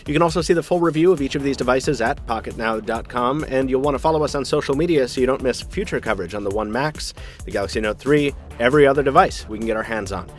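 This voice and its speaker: A man's voice: medium pitch, fast, faint music behind. A man hosting a tech show, narrating a scripted product review in an emphatic style with dry, wry asides.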